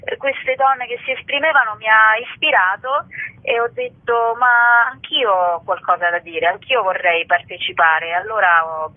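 A woman's voice: on the high side, normal speed, dry recording. A woman being interviewed as an actress, talking casually over a narrow telephone line. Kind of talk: casual radio phone interview. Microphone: phone.

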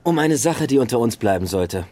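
An actor playing a dogged police detective, direct and coolly insistent, in a radio drama. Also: male voice, medium pitch, expressive, brisk, a little mellow, lightly acted. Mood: coolly pressing.

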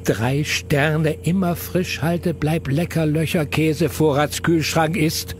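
A man's voice: a little high, fairly even intonation, takes it slowly, slight background noise. A man narrating a children's audio play as a playful storyteller who relishes long, silly words.

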